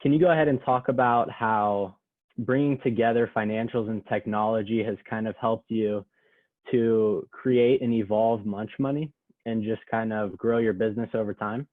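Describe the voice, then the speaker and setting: A man moderating an online panel in a relaxed, casual way, heard through a tinny video-call connection. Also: on the low side, normal speed, no echo. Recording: tinny dry phone-like call audio.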